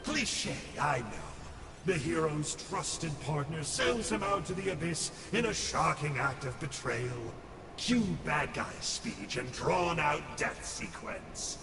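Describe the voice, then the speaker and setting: A man's voice: on the high side, expressive, takes it slowly, vocal fry, big-room sound, far from the mic, over music, heavily acted. An actor playing a sly, theatrical male Abyss scholar who talks in a glib, mocking way.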